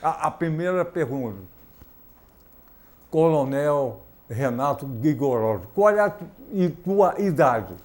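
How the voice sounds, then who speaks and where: A male talk-show host, unhurried and fond of jokes. His voice sits a little high for a man, and he speaks in a playful, teasing way in a studio. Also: lively intonation. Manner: playful, joking, slow and drawn out. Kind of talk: casual TV interview. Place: university TV studio.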